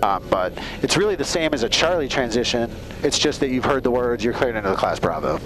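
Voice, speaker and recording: A man's voice: medium pitch, very animated, a little fast, crowd noise, low-quality recording. A man working as a flight instructor, explaining things casually over a headset mic in a small airplane. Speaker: flight instructor, pilot in command. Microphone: aviation headset boom mic. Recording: aircraft headset intercom with engine noise.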